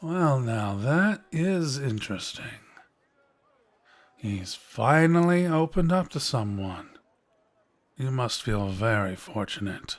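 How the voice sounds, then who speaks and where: An actor playing a sly, knowing quest-giver in a fantasy audio roleplay, measured and teasing as he persuades the listener. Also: mid-range voice, big pitch swings. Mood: knowing, intrigued.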